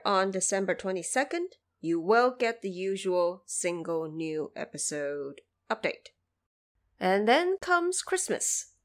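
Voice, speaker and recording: A woman from China reading a scripted announcement to listeners as host and producer, with emphatic, clearly structured delivery, recorded in a bedroom home studio. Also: mid-range voice. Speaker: podcast host and audio drama producer.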